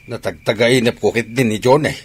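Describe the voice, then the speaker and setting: A man playing a gruff, brooding village husband and father in an Ilocano radio comedy-drama. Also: medium pitch, a little fast, a little hiss or hum, natural voice. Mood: uneasy.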